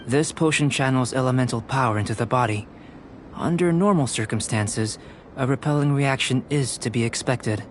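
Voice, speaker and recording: A man voicing a calm, detached young alchemist in an anime-style video game, speaking in a measured, precise, professorial way. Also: medium pitch, a little hiss or hum, natural voice. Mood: clinical, assured.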